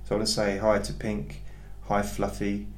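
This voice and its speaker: A man hosting a hypnotherapy podcast who talks to his listeners calmly and in a rambling, self-deprecating way, often restarting his sentences. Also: lowish voice, normal speed. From England. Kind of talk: casual chat to listeners. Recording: echoey room with faint background noise.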